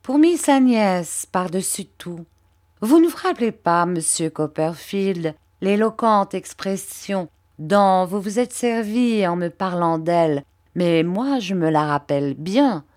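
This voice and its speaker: A woman voicing a fawning, falsely humble young male clerk who speaks with wheedling, drawn-out emphasis. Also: lowish voice, lively intonation, a little mellow.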